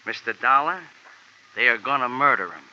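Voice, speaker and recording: A man's voice: mid-range voice, animated, normal speed, close to an everyday voice. A man playing a small, wordy ex-jockey who is fiercely fond of his horse, in a 1950s radio drama with colorful, mock-formal slang. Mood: earnest, pleading. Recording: muffled, noisy old radio recording.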